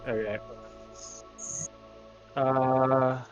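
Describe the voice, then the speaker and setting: A man's voice: mid-range voice, little pitch movement, drawn-out words, highs cut off, over music. A man talking casually as a player in a tabletop roleplaying game.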